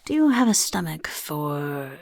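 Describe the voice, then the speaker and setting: A woman voicing a scheming male goblin who interviews recruits for a chaos horde, in a whispery soft-spoken ASMR roleplay, talking of himself in the third person. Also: deep voice, sing-song, a little slow, no echo, clean studio sound, full character voice. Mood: curious. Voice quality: gravelly.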